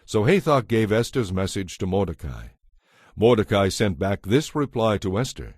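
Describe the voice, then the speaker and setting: A man's voice: fairly low pitch, animated, normal speed, darkish tone, slightly rough, dry recording, clean studio sound. A man narrating scripture as a scripted, dramatized story, with a solemn storytelling delivery. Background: music.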